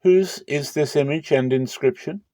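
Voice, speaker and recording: A man's voice: a little high, measured pace, mellow, no room sound, studio quality, voice pushed up, lightly acted. A man voicing a firm, authoritative teacher in a dramatic scripture reading, emphatic and declarative. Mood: firm rebuke.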